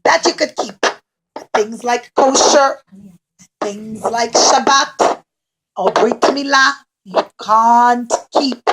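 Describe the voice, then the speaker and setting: A woman voicing an imperious, jealous male king in a children's puppet show, declaiming loudly and slowly. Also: fairly high pitch, background noise. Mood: commanding. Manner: loud, slow, declaiming.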